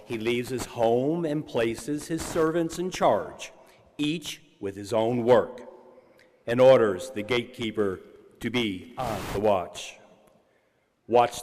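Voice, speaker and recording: A man reading scripture formally as a deacon, measured and solemn, in a large echoing church. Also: mid-range voice, a little mellow. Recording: echoing church hall, long reverb.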